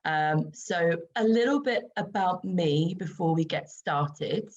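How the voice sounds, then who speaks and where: A woman in her forties with a croaky voice hosting an online video-call webinar, chatty and full of ums. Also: on the low side, expressive, slow pace, lo-fi sound. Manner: chatty, many ums, light laughs. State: croaky voice after throat infection. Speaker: webinar host and skincare expert. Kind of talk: casual online presentation.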